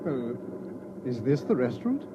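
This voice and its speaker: An actor playing a polite, inquisitive government minister, hesitant and questioning, in a 1950s radio drama. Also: male voice, medium pitch, very animated, normal speed, noisy background, natural voice. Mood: curious, amazed. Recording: dull, muffled 1950s radio recording.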